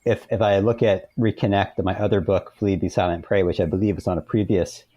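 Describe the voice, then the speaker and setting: A man's voice: low-pitched, fairly even intonation, brisk, mellow, breathy edge, dry recording. A man speaking as an author guest in a reflective, conversational interview.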